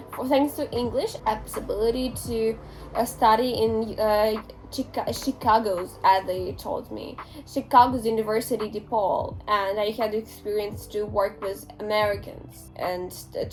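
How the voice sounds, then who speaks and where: A woman's voice: a little high, rough recording. Talkative young Ukrainian woman. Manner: talkative, animated, frequent restarts. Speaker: interviewee, English teacher. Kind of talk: casual interview answers.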